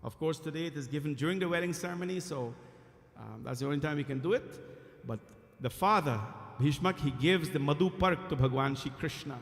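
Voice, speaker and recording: A man from Guyana, a temple priest, giving an earnest, emphatic devotional sermon with frequent pauses. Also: fairly high pitch. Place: temple hall. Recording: echoey temple hall.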